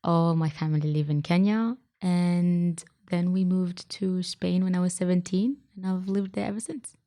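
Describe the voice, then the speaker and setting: A woman's voice: lowish voice, steady tone, moderate pace, mellow. A young woman from Saudi Arabia, a youth activist answering casually in an interview. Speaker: youth activist, podcast co-host.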